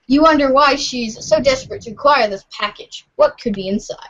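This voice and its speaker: A woman's voice: sits high, a little fast, a bit bright. A woman reading webcomic narration aloud in an informal, giggly way for a fan podcast. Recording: dull lo-fi voice recording.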